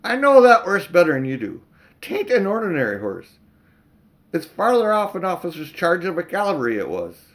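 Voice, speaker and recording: A man voicing a kindly working-class London cab driver in a storybook, folksy and coaxing, with dropped sounds and rough slang. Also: sits high, wildly swooping pitch, normal speed, voice pushed up, exaggerated voice. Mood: urgent.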